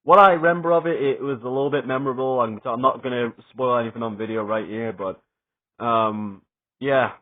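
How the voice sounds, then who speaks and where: A young adult man giving a rambling, excited spoken review, swearing often and stumbling over fillers and restarts. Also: mid-range voice, brisk. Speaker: wrestling reviewer. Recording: tinny phone-like sound.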